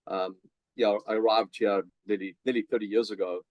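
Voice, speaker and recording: A man's voice: lowish voice, fairly even intonation, a little fast, a little mellow, no room sound. A middle-aged man with a South African accent, chatting energetically as a podcast guest with many fillers and restarts. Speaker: podcast guest, business coach. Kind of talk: casual interview chat.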